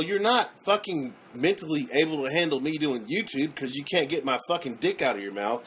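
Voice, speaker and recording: A man's voice: fairly high pitch, fairly bright tone. A man ranting casually in a played video clip, rambling, profane and full of restarts. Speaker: YouTuber.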